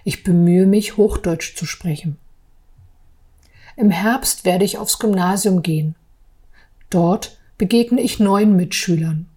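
A woman gives a personal account in a measured, deliberate way. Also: mid-range voice, warm tone, husky. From Berlin, East Germany. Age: 40s. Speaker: artist telling her own story. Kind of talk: personal account for a podcast, partly read aloud.

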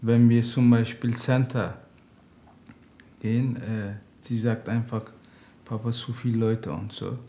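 A man whose native language is Turkish, speaking halting German with many pauses as a father seeking counseling. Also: medium pitch, level tone, warm tone, a little hiss or hum. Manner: hesitant, halting German with frequent fillers. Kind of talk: interview answers.

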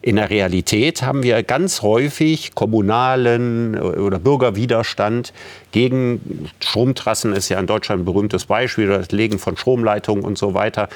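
A man's voice: fairly low pitch, normal speed. A man interviewing a guest, asking probing questions in a conversational way and building his points while thinking aloud.

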